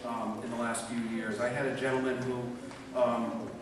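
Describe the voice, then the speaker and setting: A man's voice: medium pitch, flat delivery, normal speed. A man giving earnest, persuasive testimony as a public commenter at a city council meeting. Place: large council chamber indoors. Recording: echoey council chamber from far off, noisy.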